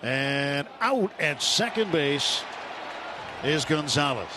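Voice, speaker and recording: A man's voice: on the high side, lively intonation, takes it slowly, background noise. A man calling a baseball game live on the radio as the play-by-play announcer. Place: stadium broadcast booth.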